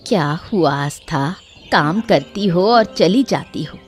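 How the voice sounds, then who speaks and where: A woman's voice: fairly low pitch, animated, takes it slowly, faint music behind. A woman playing a kindly elderly village woman. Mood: concerned, affectionate.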